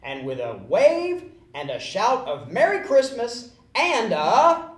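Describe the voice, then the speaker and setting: A woman's voice: fairly low pitch, huge pitch swings, takes it slowly. A woman narrating a children's storybook as a scripted readalong. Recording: roomy echo.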